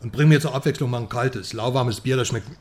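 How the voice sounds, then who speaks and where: An actor playing a cocky Western journalist in a radio drama, teasing and sarcastic, swinging between loud and hushed. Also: male voice, medium pitch, a little fast, background noise, lightly acted. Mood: boozy musing.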